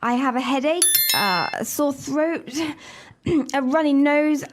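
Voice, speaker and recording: A woman's voice: sits high, takes it slowly, noisy background. A woman playing a sniffly worker calling in sick. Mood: sickly. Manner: coughs and clears throat while listing symptoms. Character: female employee calling in sick, coughing.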